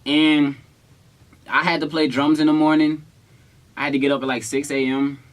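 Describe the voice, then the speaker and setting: A man's voice: a little high, normal speed. A young adult American man casually telling stories in a playful, slangy way, acting out quotes as a vlog co-host. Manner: playful, slangy, animated retelling with acted-out quotes. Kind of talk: casual storytelling.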